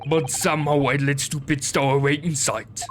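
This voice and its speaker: A man voicing a gruff, rude male ship guard with a Russian accent and clipped broken speech, for a game. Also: on the high side, steady tone, moderate pace, faint music behind, pitched below the performer's own voice, natural voice.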